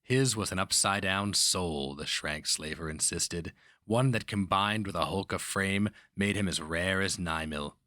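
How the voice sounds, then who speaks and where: Casting: man with a low register for solemn, theatrical fantasy audiobook narration, recorded dry. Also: measured pace, pushed and bright, no echo. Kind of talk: dramatic scripted fantasy narration.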